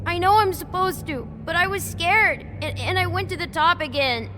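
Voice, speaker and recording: A woman's voice: very high voice, moderate pace, bright, forceful tone. A woman voicing a frightened, guilty young toad boy in a video game, hesitant, stammering and apologetic. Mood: scared, guilty.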